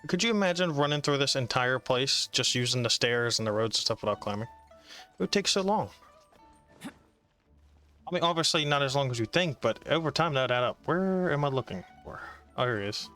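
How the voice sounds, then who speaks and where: A man's voice: mid-range voice, animated, a little fast, sharp tone, clean tone, soft background music. A man giving casual gameplay commentary as the host of a gaming video.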